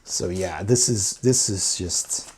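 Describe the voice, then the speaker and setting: A man's voice: sits low, takes it slowly, a little hiss or hum. A man giving a casual, enthusiastic review, talking through a book as he goes. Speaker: board game reviewer.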